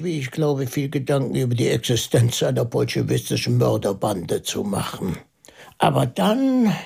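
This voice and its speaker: A man acting a shrewd, pensive priest sleuth in a German radio drama, deliberate and probing, with a husky voice. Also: medium pitch, full character voice. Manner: deliberate, probing, dropping into hushed asides.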